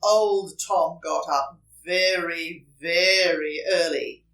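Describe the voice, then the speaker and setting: Slow, dramatic woman storyteller. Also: lowish voice, a bit bright, recorded from a distance. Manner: dramatic, with emphatic repetition and drawn-out words. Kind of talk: expressive children's read-aloud.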